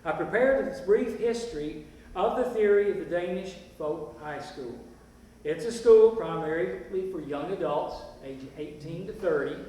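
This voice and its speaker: A man on stage playing a courteous, plainspoken 1920s country community leader, speaking in a folksy, deliberate, declamatory way. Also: sits high, a little mellow. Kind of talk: amateur stage play, speechmaking. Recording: distant echoey hall recording, background noise.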